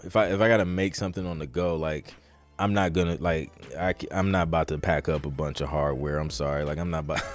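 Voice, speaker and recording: A man hosting a podcast, talking in an informal, slangy, conversational way as in a live Q&A. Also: low-pitched. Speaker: podcast host. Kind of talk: casual live Q&A chat.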